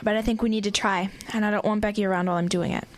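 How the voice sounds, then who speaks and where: A woman playing a bold, practical teenage girl in a radio drama, with a brisk, dry, matter-of-fact delivery. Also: mid-range voice, steady tone, close to an everyday voice. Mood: determined. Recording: clear background noise.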